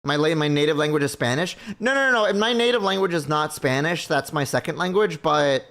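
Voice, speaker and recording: Brief: easygoing male streamer, slightly high voice, thinks aloud and circles back on himself. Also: animated, a little fast, fairly bright tone. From Mexico. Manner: rambling, thinking aloud with many restarts. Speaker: livestream host. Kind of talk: casual chat with viewers.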